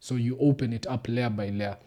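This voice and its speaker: A man's voice: medium pitch, steady tone, fairly quick, warm tone. A young Kenyan man with a Kenyan English accent, a doctor talking casually as a podcast guest, explaining things patiently and slipping into Swahili phrases. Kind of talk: casual conversation.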